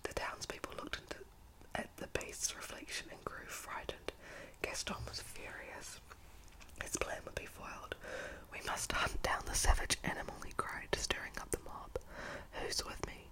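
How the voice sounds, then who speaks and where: Young woman in a hushed breathy whisper. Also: normal speed, poor audio. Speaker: ASMR storyteller and channel host. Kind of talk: whispered ASMR, reading a storybook and chatting to viewers. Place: at home indoors. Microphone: close-up microphone.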